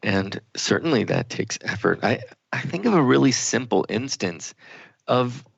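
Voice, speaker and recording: A man's voice: mid-range voice, big pitch swings, measured pace, creaky, husky, dry recording. A man co-hosting a conversational talk radio discussion, speaking reflectively and searching for his words. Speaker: radio show host. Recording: dull, lo-fi radio call audio.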